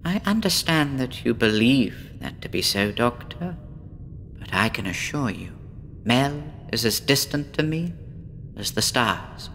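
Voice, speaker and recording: Guy doing a gloomy young woman patient, slow and brooding, echoey horror radio play. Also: medium pitch, very animated, fairly bright tone, a little hiss or hum. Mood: defiant. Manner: slow, brooding, poetic and weary. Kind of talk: horror audio drama acting.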